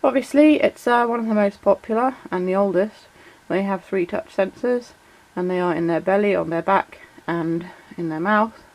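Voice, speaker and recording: A woman with a cold talks in a croaky, slightly sore voice, chatty and loose with plenty of ums and uhs. Her pitch sits in the middle range for a woman. She walks through toy details in a relaxed vlog style. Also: moderate pace, hardly any pauses, rough recording. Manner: chatty, many fillers, reads out shout-outs. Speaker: toy collector vlogger. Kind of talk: casual explanatory vlog.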